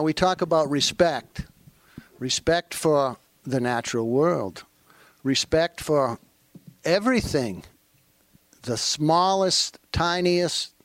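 Maine-accented man, panel speaker, unhurried and earnest, slightly high voice, dry sound. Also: no room sound. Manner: slow, earnest and reflective. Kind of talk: panel remarks.

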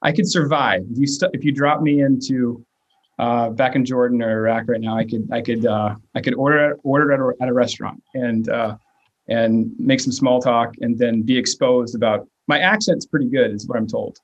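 A man's voice: medium pitch, normal speed, breathy edge, muffled recording. A man in his thirties from the Virginia area, answering interview questions in a hesitant way with many fillers and restarts. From Virginia / West Virginia area. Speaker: interviewee, nonprofit leader.

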